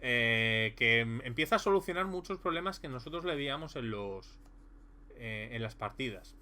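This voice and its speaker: A man's voice: mid-range voice, lively intonation, moderate pace, sharp tone. A man casually reviewing a tabletop role-playing game, rambling with many fillers and restarts. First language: Spanish.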